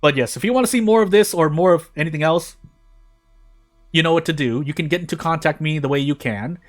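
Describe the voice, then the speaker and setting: A man's voice: on the high side, brisk. A man hosting a gaming channel, giving casual, rambling gameplay commentary with self-deprecating asides.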